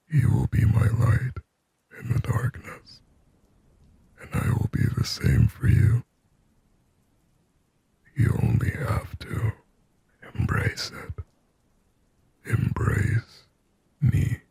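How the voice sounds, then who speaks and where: A man performs a lonely sleep paralysis demon in an ASMR roleplay. The whisper is dark and very husky, sitting close and dry on the microphone. He speaks slowly and haltingly, with a brooding, possessive longing. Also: extremely low pitch, dry recording, exaggerated voice. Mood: seductive pleading. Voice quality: breathy, raspy whisper.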